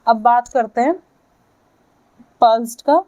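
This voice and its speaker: An Indian woman teaches in a lecture style, with a fairly high voice and a soft, mellow tone. She speaks very slowly, breaking points into short, deliberate phrases as if dictating notes, and often pauses to check in. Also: steady tone. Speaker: physiotherapy lecturer. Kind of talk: lecture explaining to students, dictating key points.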